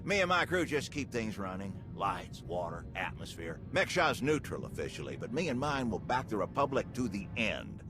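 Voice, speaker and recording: An actor playing an older, folksy and plain-spoken station engineer in a video game. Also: male voice, lowish voice, very animated, moderate pace, few pauses, noisy background. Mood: friendly, modest. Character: folksy, gruff veteran male station engineer. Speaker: chief engineer. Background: music.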